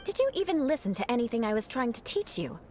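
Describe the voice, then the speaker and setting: A woman's voice: fairly high pitch, big pitch swings, fast, dark tone, soft background music. A woman playing a haughty young knight in a video game, formal and theatrically stern, with talk of revenge that masks a caring side. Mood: stern, reproachful.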